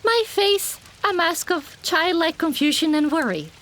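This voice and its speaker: A woman narrating a first-person fantasy audiobook in an expressive, dramatic storytelling manner. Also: extremely high pitch, unhurried, slight background noise, rough recording.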